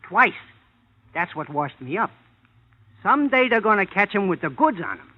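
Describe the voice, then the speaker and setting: High, animated exasperated agent. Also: male voice, moderate pace. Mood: blunt, complaining. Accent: American. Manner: blunt, slangy, complaining. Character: exasperated, blunt, commercially minded male showbiz agent. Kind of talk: old-time radio character acting. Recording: muffled vintage radio recording.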